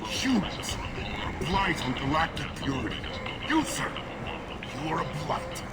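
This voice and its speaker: Game role: fanatical alien street preacher, menacing, slow and grandiose, loud music over the voice. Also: male voice, fairly high pitch, very animated. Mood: ominous. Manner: bombastic, archaic solemn declaiming. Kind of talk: fiery apocalyptic sermon.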